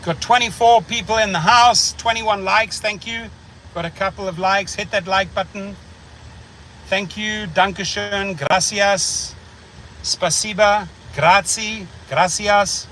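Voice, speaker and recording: A man's voice: high voice, steady tone, slow, sharp tone, slight background noise. A middle-aged man with a South African accent hosting a casual livestream chat, rambling and blunt, repeating words as he thinks. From United States. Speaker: channel host.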